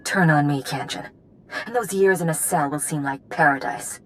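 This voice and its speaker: Casting: actress as cocky hired-gun sidekick for a game. Low-leaning female voice, tough, cool, brash. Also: moderate pace, lightly acted. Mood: menacing. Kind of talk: video game voice acting.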